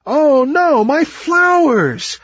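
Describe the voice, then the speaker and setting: A man's voice: very high-pitched, big pitch swings, drawn-out words, fairly bright tone, dry recording, lo-fi sound, voice pushed up, full character voice. A man voicing a vain, proud storybook tree in a theatrical, emphatic and plaintive manner. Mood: dismayed. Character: vain, proud copperpod tree, indignant then pleading.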